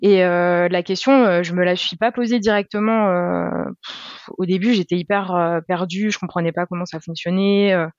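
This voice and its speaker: A woman in her twenties, a podcast guest, explaining things calmly and step by step with frequent hesitations. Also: fairly low pitch, normal speed, no room sound, lo-fi sound. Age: 20s. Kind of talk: casual explanatory chat.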